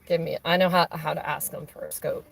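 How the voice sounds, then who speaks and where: A woman with a hoarse, raspy voice chatting casually on a live stream, rambling with many fillers and restarts. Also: sits low, fairly even intonation, brisk. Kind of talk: casual chat on a phone call during a stream.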